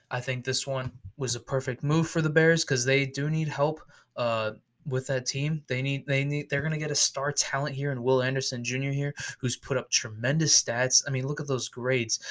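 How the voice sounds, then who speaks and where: A young man talks through a football draft in loose, rambling commentary, restarting sentences and leaning on fillers. His throat is sore, so the voice sounds slightly off. His pitch sits right in the middle for a man. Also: fairly bright tone. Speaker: YouTube football mock draft host. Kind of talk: casual solo commentary over screen.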